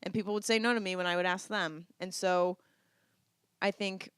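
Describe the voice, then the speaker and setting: A woman's voice: mid-range voice, fairly even intonation, normal speed, a bit bright, smooth, dry recording, studio quality. A woman co-hosting a podcast, chatting casually and giving advice in a playful, teasing way. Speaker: podcast co-host, dance teacher.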